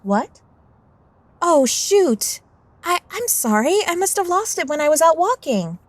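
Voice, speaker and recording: A woman's voice: very high-pitched, big pitch swings, unhurried, no echo, exaggerated voice. An actress playing a secretive, defiant, superstitious teenage girl in a radio drama. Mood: evasive, flustered.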